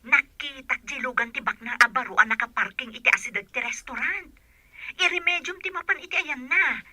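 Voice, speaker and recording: A woman's voice: high voice, a little fast, sharp tone, slight background noise. A Filipino-accented woman playing a scheming, fun-loving, pushy friend in an audio drama, giggly and coaxing. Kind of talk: amateur audio drama acting.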